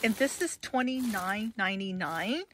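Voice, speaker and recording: A woman vlogging casually at home, with a hoarse voice, rambling through an unboxing with lots of fillers and restarts. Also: medium pitch, animated, moderate pace, a bit bright, a little hiss or hum.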